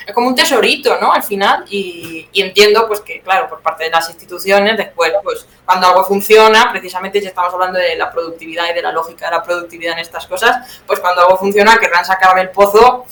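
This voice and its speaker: A woman's voice: on the low side, fast talker, hardly any pauses, a bit bright. A woman from Spain with a Spanish accent, giving reflective, self-correcting answers in a conversational interview. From Teruel, Spain. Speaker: guest playwright being interviewed. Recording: roomy echo, some background noise.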